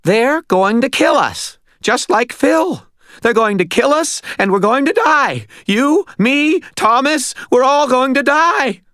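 A man voicing a small, frightened man in an audiobook, with a high, shaky voice that stammers and pleads on the edge of hysteria. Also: very animated, moderate pace, no echo, clean studio sound. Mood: hysterical. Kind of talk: audiobook character acting.

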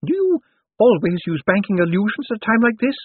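A man's voice: high voice, sing-song, brisk, breathy edge, dry recording, pitched above the performer's own voice, exaggerated voice. A man voicing a feisty, cheeky old woman with a tart, defiant manner. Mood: wry. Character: feisty, cheeky old lady banker, unashamed. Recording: muffled, band-limited phone-like audio.

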